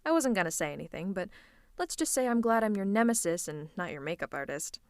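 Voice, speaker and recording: A theatrical female supervillain, acted by a woman, teases and coaxes her nemesis with obvious fondness. Her voice sits at a middle pitch in a dry recording, played as intimate roleplay audio with a taste for grand monologues. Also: expressive, no room sound, close to an everyday voice. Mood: teasing, caring. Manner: coaxing, drawn-out endearments and monologues.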